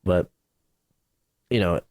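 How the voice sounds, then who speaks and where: A man's voice: slow pace, darkish tone, no echo. Laid-back bro-talking young man, dry sound. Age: 20s. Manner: laid-back, fills talk with "like", "dude" and "bro". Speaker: YouTuber. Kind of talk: casual podcast chat.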